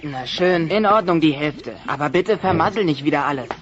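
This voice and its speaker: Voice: mid-range voice, a little fast, close to an everyday voice. Cocky teenage dinosaur boy, played by an actor. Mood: grudging. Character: cocky, wheeling-dealing teenage dinosaur son. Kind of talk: German children's audio drama acting. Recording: muffled noisy cassette radio play.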